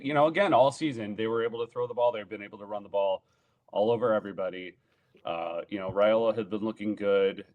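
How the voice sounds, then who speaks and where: Male co-host, 30s, lowish voice, blunt rambling opinions, desk mic at home. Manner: opinionated, lots of fillers and restarts. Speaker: podcast co-host. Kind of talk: casual sports chat. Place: home room, indoors.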